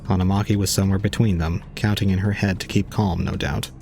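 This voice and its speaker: A deep-voiced, aloof young man, played by a male actor, narrates an audio drama with cold restraint. His wording is formal and detached, brooding over what he sees, with light music underneath. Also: fairly even intonation, a little fast, a little mellow.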